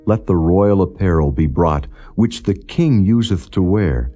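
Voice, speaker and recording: Deep-voiced man narrating scripture over music. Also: expressive, a little slow, warm tone, husky. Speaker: audiobook narrator. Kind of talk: scripted scripture narration, non-dramatized reading. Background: background music.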